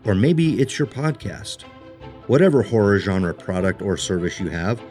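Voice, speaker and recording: A man's voice: medium pitch, a little mellow. A man announcer reading scripted promotional narration.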